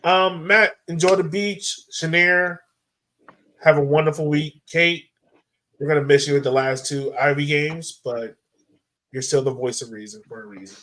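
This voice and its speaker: A man's voice: fairly high pitch, fairly even intonation, moderate pace, pushed and bright. A man hosting a casual sports podcast, talking informally and in slang to his co-hosts and listeners. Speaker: podcast host.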